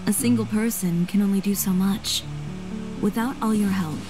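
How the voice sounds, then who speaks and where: A confident young woman designer from a video game, voiced by an actress. She speaks in a middle register, composed and matter-of-fact with a proud edge, over soft music. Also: medium pitch, steady tone, moderate pace, lightly acted, low-quality recording. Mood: sincere.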